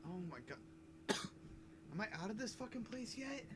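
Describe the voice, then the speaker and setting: A man's voice: high-pitched, very animated, moderate pace, background noise. A man giving casual, rambling let's-play commentary, swearing and mocking himself, between coughing fits at his desk mic. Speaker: gaming YouTuber.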